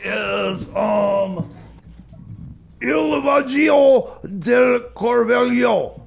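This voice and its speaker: A man co-hosting a comedic radio music show, bantering and announcing songs in a halting, flustered, rambling way. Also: extremely high pitch, creaky, husky.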